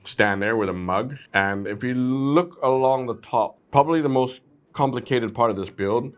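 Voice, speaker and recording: A man's voice: medium pitch, normal speed. A man hosting a casual, chatty product review, talking informally and playfully straight to his viewers. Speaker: host of a brick-set review channel.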